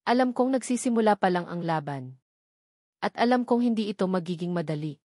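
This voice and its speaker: A woman narrates a scripted romantic drama in a measured, emotive way. Her voice sits at a middle pitch, recorded very dry and close. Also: lively intonation, dark tone, no room sound. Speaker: story narrator.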